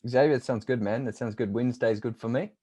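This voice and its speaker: A man's voice: medium pitch, quick pace, mellow, slightly rough. A man with a New Zealand accent in casual conversation as an interviewer and coach, informal and matey.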